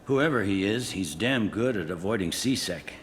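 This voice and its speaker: A man acts out a jaded police captain from a video game. He speaks low, in a blunt and wry way. There is faint noise in the background. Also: expressive, normal speed, close to an everyday voice. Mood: frustrated, frank.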